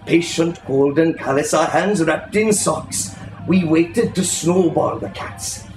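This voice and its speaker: Theatrical male storyteller, higher-pitched, echoey room. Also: takes it slowly, darkish tone. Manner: theatrical, savouring the phrases. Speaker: reader, storyteller. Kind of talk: expressive literary recitation. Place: live reading in an indoor shop. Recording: echoey room with faint background noise.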